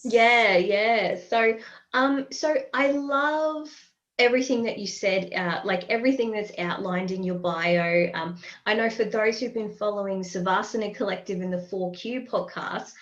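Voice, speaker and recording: A woman's voice: mid-range voice, a little slow, fairly bright tone, muffled recording. A woman hosting a podcast interview, chatting in a friendly way with plenty of fillers while getting over a respiratory ailment. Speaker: podcast host. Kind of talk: casual interview chat.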